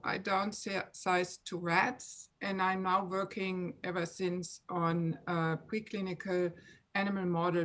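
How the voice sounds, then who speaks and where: A woman from Germany, introducing her scientific background on an online panel over a video call. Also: medium pitch.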